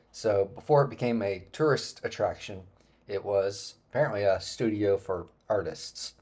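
A man in his forties narrating a travel vlog about a hike, relaxed and explanatory, with frequent filler words. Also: on the low side. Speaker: travel vlogger. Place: indoor room, then voice-over on hike footage.

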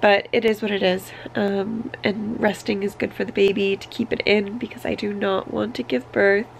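A woman's voice: mid-range voice, level tone, moderate pace, gravelly, slight background noise, rough recording. A woman in her thirties with a congested, sick voice, giving an informal, hesitant vlog update into a handheld camera. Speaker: family vlogger. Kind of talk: casual personal vlog update. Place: small bedroom, indoors. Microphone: camera held at arm's length.

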